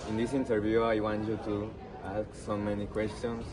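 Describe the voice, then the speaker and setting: A man interviewing someone, asking questions in halting, non-native English phrasing. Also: lowish voice, completely flat delivery. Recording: distant mic, busy crowd outdoors.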